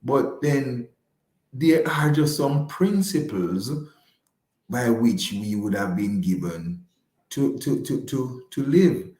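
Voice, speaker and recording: A man's voice: medium pitch, lively intonation, dark tone, reverberant. A man speaking as a guest financial advisor in a conversational interview, often repeating words as he forms his points. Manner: halting, repeats words while forming points.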